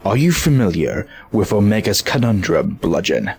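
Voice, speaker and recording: A man playing an earnest, principled robot hero in a fan dub, speaking in a grave, measured, deliberate way. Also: low voice, big pitch swings, gravelly, a little mellow, breathy edge, exaggerated voice. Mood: resolute. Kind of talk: scripted fan dub acting. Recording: faint background noise and ambience.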